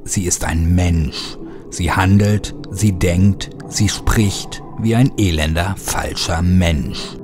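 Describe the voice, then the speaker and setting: A man voicing a menacing, scornful dark entity in a horror story, speaking in a slow, ominous, imperious way. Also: low-pitched, over music, voice pushed down. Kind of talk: horror audiobook character voice.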